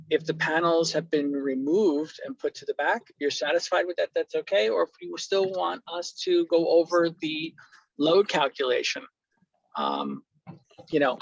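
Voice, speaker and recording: A man from the building department joining a meeting by video call, speaking in measured, questioning turns. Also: fairly high pitch, creaky, no room sound. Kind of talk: remote meeting participation. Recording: dull, dry call audio.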